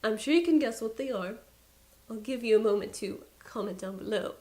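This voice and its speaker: Chatty high-voiced woman with a Persian accent. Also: lively intonation, a little fast, dark tone. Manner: chatty, exasperated, playful asides. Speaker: beauty YouTuber. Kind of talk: casual vlog to camera.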